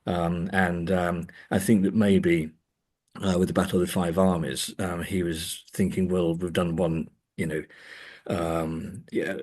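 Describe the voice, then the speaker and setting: A man's voice: sits low, flat delivery, measured pace, a little mellow, husky. A man speaking as an academic guest in a discursive interview, hesitant and often repeating words as he thinks.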